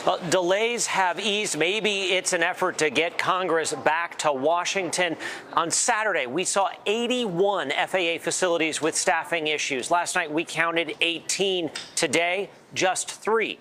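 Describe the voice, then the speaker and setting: An American man reports live in a bright, forceful, fairly high voice. He speaks as a news correspondent, with faint airport noise behind him. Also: a little slow. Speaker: transportation correspondent.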